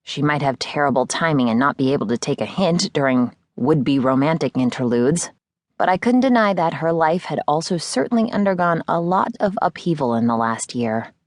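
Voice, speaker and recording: A woman's voice: sits low, animated, moderate pace, no room sound. A woman reading scripted first-person fiction as an audiobook narrator, recorded in a studio. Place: dry studio.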